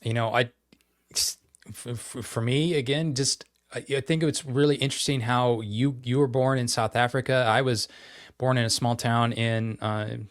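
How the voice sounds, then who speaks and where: A man in his late forties from Idaho, co-hosting a podcast from his home office, talking in an earnest, halting way as he searches for words. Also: mid-range voice, fairly even intonation, no echo, clean studio sound. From Idaho, United States. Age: 40s. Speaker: podcast co-host. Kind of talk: casual conversation.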